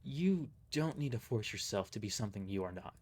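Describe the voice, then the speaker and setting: A man voicing a gentle, devoted friend in love for an audio drama, earnest and a little hesitant. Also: fairly low pitch, animated, brisk. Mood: tender, earnest. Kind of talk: amateur audio drama dialogue.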